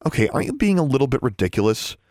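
Storybook role: impulsive young schoolgirl, played by a male reader, raised pitch, lively swings, argumentative and keen. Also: rapid-fire, no room sound, clean studio sound, close to an everyday voice. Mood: defensive. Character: headstrong, eager young schoolgirl, quick to argue.

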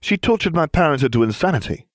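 A man doing a flat, gloomy teenage boy. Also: sits high, no room sound, clean studio sound, voice pushed up. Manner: flat, slow.

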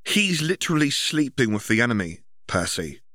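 A man's voice: mid-range voice, sing-song, moderate pace, bright, forceful tone, no room sound, studio quality, voice pushed up. A man voicing a blunt, grudging teenage boy in an audiobook story, talking casually. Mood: indignant.

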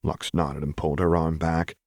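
A man's voice: extremely low pitch, little pitch movement, normal speed, a little mellow, no echo, studio quality. A man reading scripted fiction as an audiobook narrator, in a dramatic storytelling style.

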